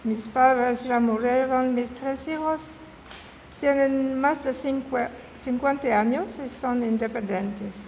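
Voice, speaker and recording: A woman in her seventies from Switzerland, with a French-speaking Swiss accent and French as her first language, giving an informal public talk. She speaks haltingly, searching for words and correcting herself mid-word. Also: high voice, darkish tone, highs cut off. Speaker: guest speaker. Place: meeting hall in an old house. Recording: reverberant hall with faint room noise.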